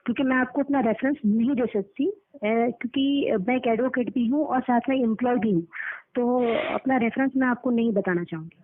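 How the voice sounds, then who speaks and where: A high-voiced woman speaks over a narrow, lo-fi telephone line. She argues for a customer as an advocate, lecturing and pressing questions. She repeats key phrases to drive her point home. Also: a little fast, background noise. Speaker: advocate arguing on behalf of a customer. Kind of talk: argumentative phone call, questioning. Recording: low-fidelity recorded phone call.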